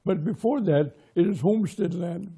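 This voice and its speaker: A man whose first language is Lakota, from Pine Ridge, telling his community's oral history in measured, short, plain sentences. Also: sits high, warm tone, breathy edge. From Pine Ridge, South Dakota. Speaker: interviewee, local historian.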